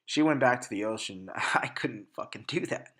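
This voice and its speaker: A man's voice: medium pitch, animated, normal speed, a bit bright. A young man hosting a solo podcast, talking candidly with frequent profanity and restarts, congested and sniffling from allergies. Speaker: podcast host. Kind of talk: casual solo podcast monologue.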